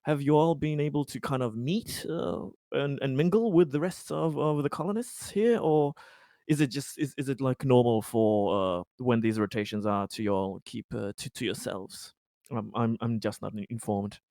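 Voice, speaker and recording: A man's voice: medium pitch, normal speed, creaky, warm tone, no echo, clean studio sound, natural voice. A man playing a courteous, eager-to-please council official in a tabletop game, speaking in a polite, rambling, stammering way. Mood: polite, welcoming. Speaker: tabletop roleplay player. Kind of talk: improvised in-character tabletop dialogue.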